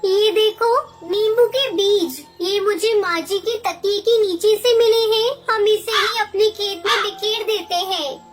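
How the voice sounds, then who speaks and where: A woman's voice: very high-pitched, measured pace, few pauses, soft background music. A woman voicing a tender-hearted young female bird, a devoted wife, with an earnest, pleading manner in a cartoon. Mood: gentle, earnest.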